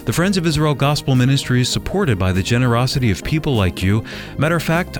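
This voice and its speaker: An American man reading scripted radio sign-off announcements in a polished, clearly enunciated way. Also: fairly low pitch. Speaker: radio announcer and executive producer.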